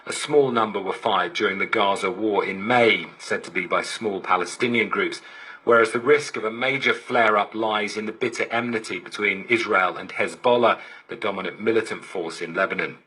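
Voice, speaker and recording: A man's voice: fairly low pitch, moderate pace, bright, forceful tone, slightly rough, rough recording. A man giving a scripted news report as a correspondent, over digital shortwave radio.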